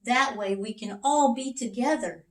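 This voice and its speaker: A woman voicing an excitable, earnest king in a read-aloud storybook. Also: fairly high pitch, unhurried, far from the mic, pitched above the performer's own voice, lightly acted. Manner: exclaiming, earnest. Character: excitable, emotional, childlike king, adult man. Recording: roomy echo, away from mic.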